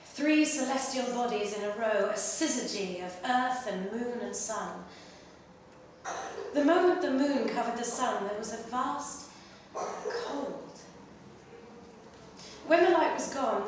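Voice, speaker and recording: A woman performing a literary short story at a live reading, in an expressive, measured way with pauses between phrases. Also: fairly high pitch. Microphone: distant microphone. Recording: very echoey room, distant mic, faint noise.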